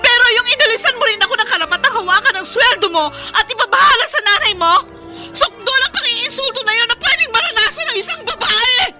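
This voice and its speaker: A woman's voice: very high voice, lively intonation, over music. An actress in a melodramatic radio drama playing a young wife who is hurt, emotional and defiant about leaving her husband. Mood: tearful fury. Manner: emotional, fast when upset, pleading.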